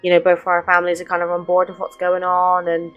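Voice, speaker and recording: A woman's voice: fairly low pitch, monotone, fast talker, highs cut off. A woman gaming streamer giving casual, chatty, informal commentary over a playthrough. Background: faint game music.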